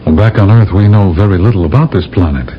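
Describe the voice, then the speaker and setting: A man's voice: low-pitched, fast, natural voice. An actor playing a persuasive astronaut from Earth in a 1970s radio drama, insistent and theatrical in his delivery. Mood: urgent, explaining. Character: bold, persuasive male astronaut envoy from Earth. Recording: dull, noisy old radio broadcast recording.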